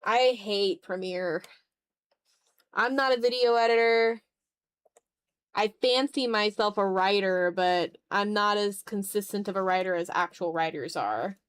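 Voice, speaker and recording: A woman's voice: medium pitch, a little slow, a bit bright, clear, resonant tone. A woman chatting casually on an art livestream, thinking aloud in a hesitant, self-deprecating way. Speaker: streamer and content creator. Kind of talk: casual livestream chat while drawing.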